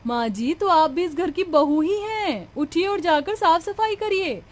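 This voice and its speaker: A woman playing a young, indignant, sharp-tongued daughter-in-law in a cartoon family drama, with a scolding, melodramatic delivery. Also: very high-pitched, animated, measured pace. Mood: scornful.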